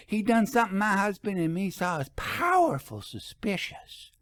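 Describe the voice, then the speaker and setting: A man voicing a gossipy, suspicious rustic older shopkeeper woman with folksy, ungrammatical speech and drawn-out emphasis. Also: high voice, very animated, fairly bright tone, no room sound, studio quality, voice pushed up. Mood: conspiratorial, suspicious.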